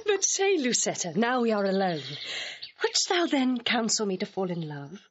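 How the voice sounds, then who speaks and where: A woman's voice: medium pitch, very animated, normal speed, lo-fi sound, over music, close to an everyday voice. An actress playing a curious young lady eager about love in a Shakespearean audio drama, speaking theatrically. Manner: theatrical, inquisitive.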